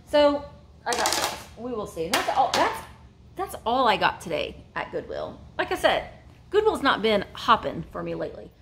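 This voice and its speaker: A woman in her forties talks casually about vintage finds, rambling and correcting herself as she goes. Her voice sits a little high. She records on a phone held close inside an antique shop. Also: very animated, normal speed, vocal fry, fairly bright tone, a little hiss or hum. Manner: chatty and rambling, many fillers and self-corrections. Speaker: vintage reseller and vlogger. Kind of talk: casual haul vlog. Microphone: phone camera held close.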